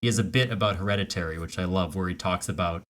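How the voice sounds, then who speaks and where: Male co-host, slightly deep voice, informal comedic conversation. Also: fast, a bit bright. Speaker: podcast co-host. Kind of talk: casual comedic chat.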